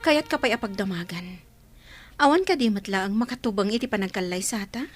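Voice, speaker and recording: A woman acting an earnest young bride-to-be with an Ilocano accent, speaking deliberately and questioningly in a radio drama. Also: medium pitch, faint music behind, lightly acted.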